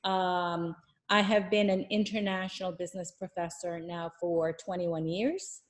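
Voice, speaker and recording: A woman's voice: on the low side, fairly even intonation, unhurried. A woman, an international business professor, giving a welcome talk to students over a video call, with many fillers and restarts.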